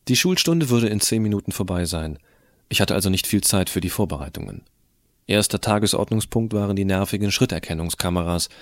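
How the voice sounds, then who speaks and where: A man's voice: low voice, no echo. A man playing a cheeky, rebellious, tech-savvy teenage schoolboy who narrates an audio drama, explaining things to the listener in a colloquial, wry way.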